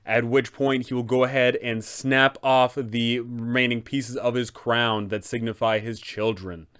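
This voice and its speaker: A man's voice: mid-range voice, steady tone, a little slow, fairly bright tone, clear, resonant tone. A man in his twenties presenting a roleplaying guide for game masters, explanatory and emphatic. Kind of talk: tabletop roleplaying guide narration. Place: small furnished room indoors.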